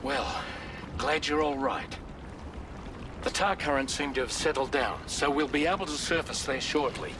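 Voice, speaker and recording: A man acts a concerned, reassuring crewman from a video game. His voice sits a little high for a man, and there is clear vessel noise behind it. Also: moderate pace, natural voice. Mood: relieved, reassuring. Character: concerned, reassuring male ship crewman on comms. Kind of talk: video game cutscene acting.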